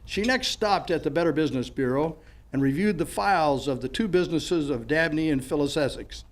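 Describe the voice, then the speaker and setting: A man's voice: a little high, brisk. A man reading scripted narration and announcements in the style of an old-time radio show.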